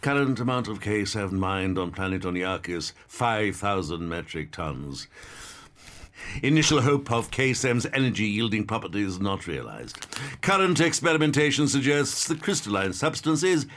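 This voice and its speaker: Exasperated colony boss, middle-aged, low voice, dramatic swings, clipped, sardonic, audio drama. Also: male voice, big pitch swings, normal speed, fairly bright tone. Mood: dry, weary. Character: exasperated middle-aged male mining colony leader, brittle temper.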